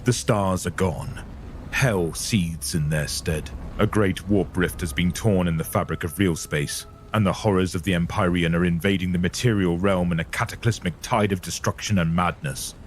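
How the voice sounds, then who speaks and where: A man reading dramatic scripted narration for a dark audio story. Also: low-pitched, normal speed, a little hiss or hum. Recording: narration over loud music.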